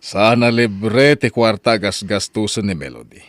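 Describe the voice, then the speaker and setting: A man's voice: lowish voice, animated, unhurried, dry recording. A man acting a stern, exasperated father in an Ilocano radio drama, scolding in an Ilocano accent. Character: stern, exasperated well-off father of college-age daughter.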